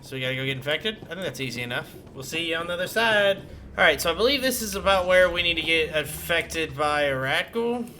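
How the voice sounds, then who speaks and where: A man's voice: a little high, fast, sharp tone, slight background noise. A man giving casual let's-play commentary as he hosts a gaming video.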